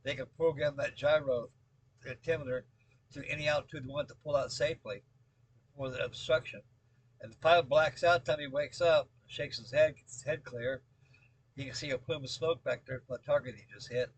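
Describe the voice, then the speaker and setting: Husky, rambling older American man. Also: mid-range voice, poor audio. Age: late 70s. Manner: rambling, halting, repeats words and phrases. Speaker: scale model builder and video host. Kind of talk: casual update chat with rambling storytelling.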